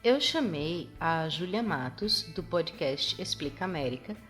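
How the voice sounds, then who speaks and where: A woman's voice: deep voice, lively intonation, measured pace, a bit bright, faint music behind. A woman podcast host with a Brazilian Portuguese accent, chatting casually and sounding a bit nasal from a cold she is getting over. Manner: chatty, self-correcting. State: recovering from a cold, slightly nasal. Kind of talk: casual solo podcast chat.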